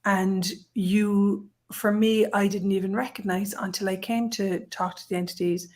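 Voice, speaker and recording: A woman's voice: medium pitch, steady tone, measured pace, darkish tone. A woman talking earnestly in a casual panel chat, building on what others said. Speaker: facilitator on a panel.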